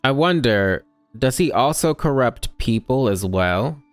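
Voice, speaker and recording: Very deep, smooth-voiced wry woman gamer. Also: animated, measured pace, soft background music. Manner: sardonic, wry asides. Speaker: gamer commenting on a playthrough. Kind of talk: casual let's play commentary.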